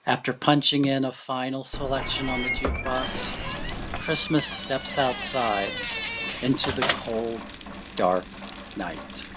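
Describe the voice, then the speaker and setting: A man's voice: medium pitch, very noisy. Man narrating a radio drama. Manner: measured storytelling in present tense, acting out brief quotes. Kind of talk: scripted story narration.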